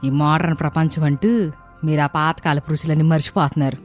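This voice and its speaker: A woman plays an elderly mother-in-law in an animated moral story. She grumbles and laments with nostalgia for old times, over background music. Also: low voice, fairly quick, natural voice. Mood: grumbling.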